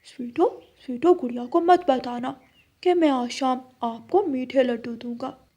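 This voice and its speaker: A woman's voice: high voice, a little slow, dark tone. A woman voicing a jealous, wheedling young male crow in a children's cartoon story. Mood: sly. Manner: wheedling, sly.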